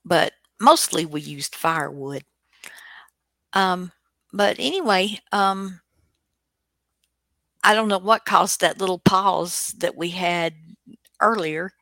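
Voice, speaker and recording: A woman's voice: on the low side, slow pace, creaky, long pauses, fairly bright tone, no room sound, clean studio sound. A woman hosting a radio show, chatting casually in a rambling, hesitant way. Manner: rambling, hesitant, reminiscing delivery. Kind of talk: casual chat.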